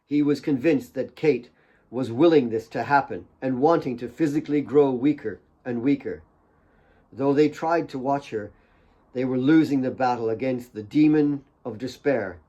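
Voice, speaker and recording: A man's voice: mid-range voice, moderate pace, dark tone, dull audio. A man reading his own novel aloud as a dramatic audiobook narration.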